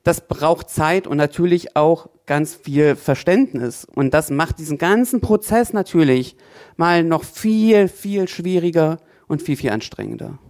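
A man's voice: on the high side, expressive, a little slow, a little mellow. Thoughtful man explaining at length. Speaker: language teacher. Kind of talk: panel discussion.

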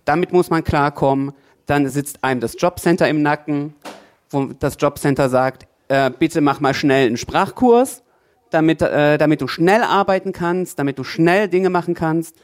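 A man's voice: a little high, moderate pace. Thoughtful man explaining at length. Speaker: language teacher. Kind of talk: panel discussion.

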